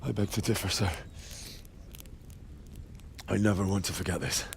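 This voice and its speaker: A man's voice: fairly low pitch, fairly even intonation, moderate pace, vocal fry, a little hiss or hum. A young man playing an earnest, determined medieval lad in a video game, respectful in his speech. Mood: bitter resolve. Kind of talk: dramatic video game acting.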